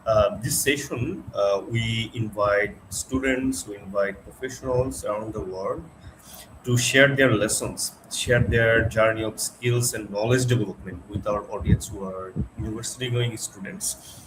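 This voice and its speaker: A man's voice: on the low side, steady tone, background noise. Bangladeshi man hosting a webinar. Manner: slow, with frequent uh fillers. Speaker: webinar host. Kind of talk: hosting an online interview.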